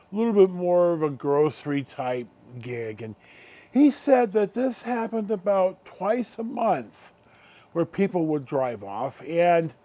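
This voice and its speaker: A middle-aged American man hosting a casual storytime, rambling along in halting, unhurried, drawn-out phrases. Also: on the high side, a little mellow. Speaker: video host.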